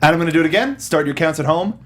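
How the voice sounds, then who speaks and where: A man's voice: a little high, very fast, a little hiss or hum. A man hosting a casual film review discussion, rambling and repeating his words as he acts out mock dialogue. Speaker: film review show host.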